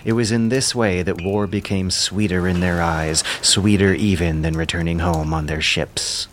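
A man's voice: low-pitched, steady tone, moderate pace, a little hiss or hum. A man narrating an epic audio drama in a solemn, declamatory, oratorical manner. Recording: loud music under the narration. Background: loud background music.

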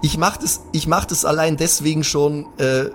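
A man with a fairly high voice improvises as a player in a tabletop game. His speech is slangy and colloquial, with halting, exasperated phrasing. Music is clearly audible behind him. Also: steady tone, fairly bright tone. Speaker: tabletop role-play player. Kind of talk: improvised in-character role-play mixed with casual table talk. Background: background music.

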